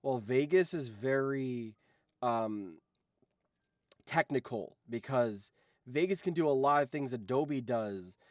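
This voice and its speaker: A man's voice: mid-range voice, lively intonation, slow, darkish tone, no room sound, clean studio sound. A man co-hosting a stream, chatting casually about video editing in a hesitant, thinking-aloud way. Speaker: stream co-host commenting on editing. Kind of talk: casual tech chat.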